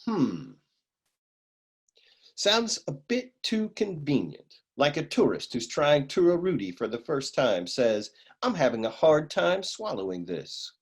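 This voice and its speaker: A man playing a hard-boiled, wisecracking private detective in a comic noir radio serial, deadpan and husky. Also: on the high side, unhurried, exaggerated voice. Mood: wry, dismissive. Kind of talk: parody noir radio drama acting.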